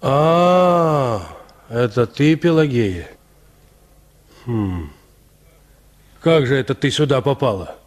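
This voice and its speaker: A man's voice: medium pitch, animated, slow pace, long pauses. Aloof, self-satisfied peasant huntsman, swooping intonation. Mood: indifferent, gruff. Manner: nonchalant, condescending, folksy peasant speech. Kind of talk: Russian radio drama acting.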